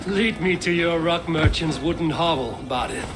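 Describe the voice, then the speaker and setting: An actor playing a composed, warm seafaring Viking warrior in a video game, speaking in a measured, plainspoken way. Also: male voice, on the high side, loud music behind the voice, natural voice. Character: adult Viking warrior newly arrived by sea, composed, warm. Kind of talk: video game cinematic acting.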